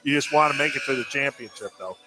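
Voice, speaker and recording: A man's voice: medium pitch, quick pace, music in the background, low-quality recording. A man giving conversational color commentary on a live basketball broadcast. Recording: clear background noise on live broadcast.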